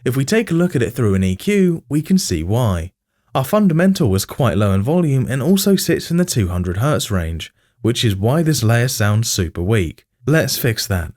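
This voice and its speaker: Lively man narrating a tutorial. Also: mid-range voice, moderate pace. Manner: explanatory, informal, addresses viewer directly. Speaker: music production tutorial host.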